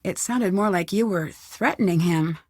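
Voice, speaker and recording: A woman's voice: on the low side, moderate pace, darkish tone, dry recording, studio quality. An actress playing a polite, inquisitive young woman detective in a video game, with an earnest, probing way of talking. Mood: curious. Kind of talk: video game character dialogue.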